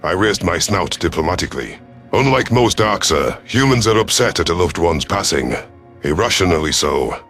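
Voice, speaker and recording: Male reader as gruff alien rebel commander: very low, proud, sardonic, stern, first-person sci-fi narration. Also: sing-song, moderate pace, fairly bright tone, soft background music, full character voice. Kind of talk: first-person scripted audio story narration.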